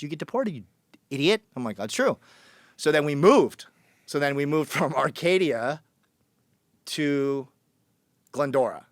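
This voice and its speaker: A man's voice: fairly high pitch, dry recording. Blunt joking man, dry close mic. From Colombia. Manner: blunt, joking, swings his voice acting out quoted people. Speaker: podcast guest. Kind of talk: casual storytelling.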